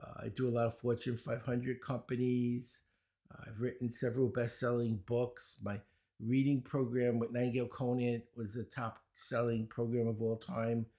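A man's voice: mid-range voice, level tone, mellow. Older Brooklyn-accented man, joking guest, rattles off credentials. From Brooklyn, New York. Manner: wisecracking, chuckles at his own jokes, rapid run of credentials. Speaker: guest, speed-reading teacher. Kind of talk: casual interview answers.

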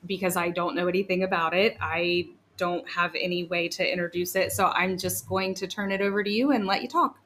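A woman hosts a podcast in a chatty, excitable way, laughing easily between questions. Her voice sits a little low for a woman. A faint hum of noise runs underneath. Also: fairly quick, hardly any pauses. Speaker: podcast host. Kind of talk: casual podcast interview.

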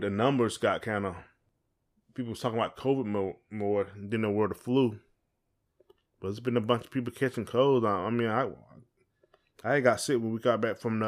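A man co-hosting a podcast in casual chat, rambling and repeating phrases as he thinks aloud. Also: on the low side. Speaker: podcast co-host.

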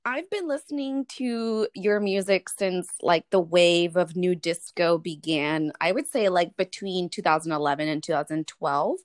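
A woman's voice: lowish voice, unhurried, few pauses. A woman interviewing a musician in a casual chat, speaking as an eager fan who hesitates with ums.

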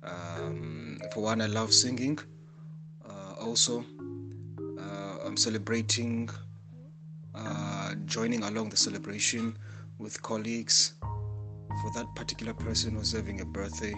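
Low-voiced man over music. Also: steady tone. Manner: measured, repeats points, hesitant fillers. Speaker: contributor. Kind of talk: recorded answer.

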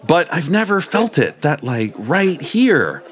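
Suave young living-butt creature played by a male reader, curious, savoring, higher-pitched and animated, for comic audiobook. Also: slow, heavily acted. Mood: wistful, curious. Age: young adult. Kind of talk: comic audiobook read live.

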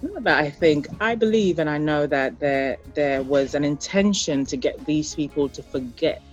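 An interview guest who grew up in Ghana and later Europe, speaking reflectively and haltingly, often searching for words. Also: mid-range voice, dull audio, soft background music. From born in Liberia, raised in Ghana, later the Netherlands and UK.

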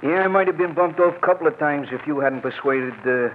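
A man's voice: on the high side, brisk, natural voice. An American man playing a cagey, slangy bar-owner informant in 1940s radio drama style. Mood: cagey, familiar. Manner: ungrammatical underworld slang. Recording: noisy, tinny old radio recording.

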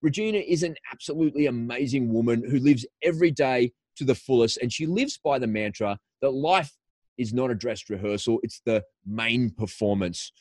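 A man's voice: medium pitch, normal speed, a little mellow, no echo. A man hosting a podcast, giving an enthusiastic, promotional introduction and interviewing a guest. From Australia. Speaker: podcast host.

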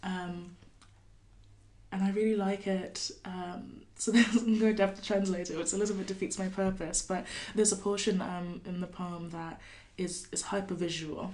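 A woman poet from California, a guest on a podcast, talking thoughtfully with many pauses and fillers and reading her poem. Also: mid-range voice, level tone, darkish tone. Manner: thoughtful, hesitant with many fillers, laughs often. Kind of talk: podcast interview with poetry reading.

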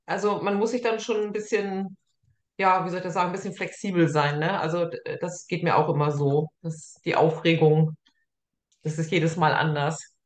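A woman's voice: lowish voice, fairly even intonation, moderate pace. A woman interviewing a guest as a fellow author, chatty and casual, often laughing. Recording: echoey, roomy sound.

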